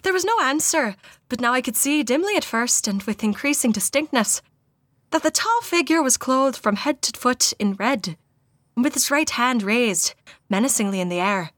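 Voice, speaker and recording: A woman's voice: on the high side, animated, normal speed, a bit bright, dry recording. A woman voicing an earnest ghost-story witness with a put-on Irish accent, reading the account dramatically. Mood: awed, dramatic. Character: earnest guest recounting a haunting, in an Irish accent.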